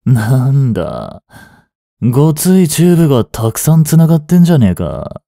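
An actor playing a rough, cocky mafia boss with hidden tenderness in an intimate audio drama, gruff and teasing in a drawl. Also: male voice, mid-range voice, huge pitch swings, normal speed, warm tone, dry recording, clean studio sound. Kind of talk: intimate Japanese-style dramatic voice acting aimed at women listeners. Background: music.

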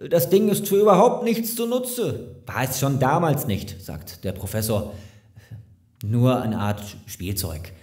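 A man playing a slick, overeager lawyer in an audio drama, flattering and evasive, with hesitant persuasion. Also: medium pitch, unhurried. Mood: flattering, dismissive. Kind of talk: German audio drama acting. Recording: roomy, echoing sound.

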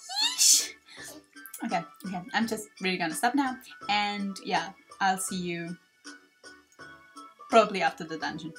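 A woman's voice: medium pitch, normal speed, long pauses, rough recording. A woman hosting a let's play, chatting casually and offhand in a rambling way over a game. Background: video game music.

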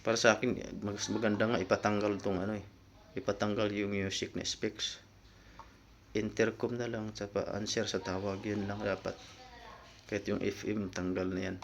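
A man with a fairly low voice talks in a casual, explanatory vlog style. He speaks informally and directly to his viewers, as if walking friends through a topic. There is faint ambient noise behind him. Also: little pitch movement, moderate pace. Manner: informal, explanatory, often addressing viewers as guys. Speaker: vlogger.

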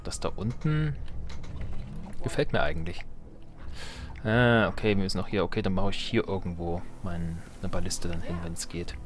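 A man's voice: low-pitched, normal speed, pauses often. A man giving casual, jokey gaming commentary as a let's play host, playfully theatrical and muttering asides to himself. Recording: music behind the voice. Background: game music.

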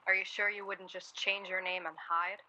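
A woman's voice: medium pitch, flat delivery, moderate pace, sharp tone, rough recording. A woman acting a blunt, anxious pessimist in a wartime radio drama, arguing in a searching way. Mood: agitated, fatalistic. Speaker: actor in a radio play. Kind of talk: naturalistic radio drama dialogue.

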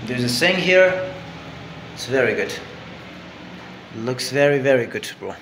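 A man's voice: medium pitch, expressive, a little slow, fairly bright tone, big-room sound, a little hiss or hum, rough recording. A man in his twenties with an Afghan accent, narrating a casual vlog as a tour guide in short, repetitive phrases. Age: 20s. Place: indoors in hotel halls, rooms and dining room. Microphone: phone at arm's length.

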